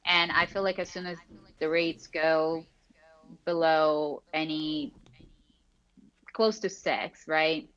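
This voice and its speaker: A woman with a notably low voice talks casually as a guest, full of ums and restarts. She is joining over headphones on a remote call, and the audio is dull and lo-fi. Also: fairly even intonation, slow pace, long pauses, a bit bright. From Brazil. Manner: chatty, many fillers and restarts. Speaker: real estate agent, guest.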